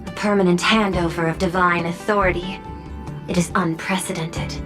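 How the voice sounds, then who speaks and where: A woman voicing a polite, dignified video game official with a formal, measured delivery. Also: fairly low pitch, steady tone, natural voice. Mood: composed, grave. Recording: music under the voice.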